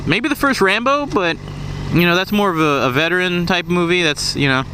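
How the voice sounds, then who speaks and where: A man's voice: sits high, a little fast. Rambling young man over road noise. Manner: profane, joking, rambling. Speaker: vlogger. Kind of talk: casual rambling monologue. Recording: loud road and vehicle noise.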